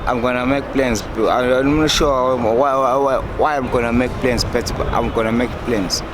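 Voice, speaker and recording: A man's voice: medium pitch, fairly even intonation, normal speed, noisy background. Blunt man on a busy street. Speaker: street interviewee. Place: city street, outdoors.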